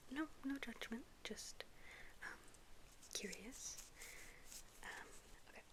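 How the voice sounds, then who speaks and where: A woman whispering softly in an ASMR roleplay as a dentist, gently and politely asking questions. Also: on the high side, takes it slowly, low-quality recording. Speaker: ASMR performer playing a dentist.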